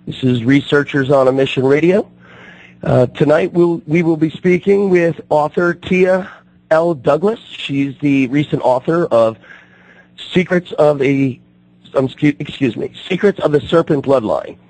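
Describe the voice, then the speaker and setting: A man hosting a radio talk show, his voice still recovering from being lost, talking haltingly with many fillers and restarts. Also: on the high side, darkish tone, low-quality recording.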